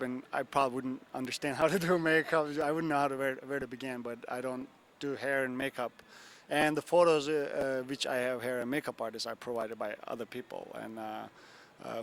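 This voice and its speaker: A man's voice: mid-range voice, steady tone, fairly quick, gravelly, fairly bright tone. A young man from Turkey, a photographer, answering interview questions in a loose, rambling way with lots of fillers. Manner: rambling, many fillers and "you know what I'm saying". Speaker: photographer guest. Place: bar and grill.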